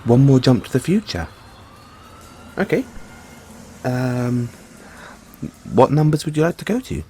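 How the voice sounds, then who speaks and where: A man playing an excitable, affectionate mad scientist boyfriend in an intimate audio roleplay, musing haltingly to himself and his partner. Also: mid-range voice, slight background noise. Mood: playful. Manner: musing, halting, chuckling asides, drawn-out reactions.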